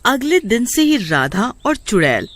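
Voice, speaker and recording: A woman's voice: a little high, very animated, measured pace. A woman storyteller reading scripted narration of a tale.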